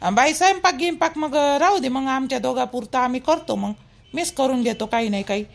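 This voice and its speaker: Woman voicing a cartoon village mother-in-law, Vidarbha Marathi accent, high gravelly pitch, fussy, caring, insistent. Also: a little fast. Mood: easygoing. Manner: insistent, repetitive, homely.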